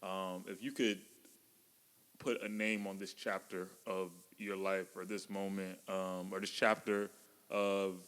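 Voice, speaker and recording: A man's voice: deep voice, flat delivery, a little slow, a bit bright, clear, resonant tone. A man around thirty co-hosting a podcast, talking in loose slang with a joking manner. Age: 30s. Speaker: podcast co-host. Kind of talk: casual chat. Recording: long hall reverb.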